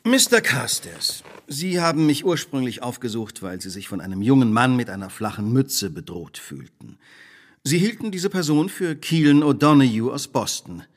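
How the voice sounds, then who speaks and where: An actor playing a shrewd, self-assured detective who speaks precisely and probingly in a radio play. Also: male voice, medium pitch, very animated, moderate pace. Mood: probing, composed. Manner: precise, probing, imperious deduction. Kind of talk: dramatic radio play dialogue.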